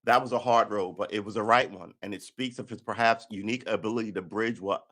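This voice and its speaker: A New man with a fairly low voice reads a news piece on a live stream. He keeps stopping to fire off sarcastic, profane commentary in a heated tone. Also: fairly even intonation, fast, fairly bright tone. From New York City. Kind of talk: reading an article aloud with running commentary. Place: indoor room by a window.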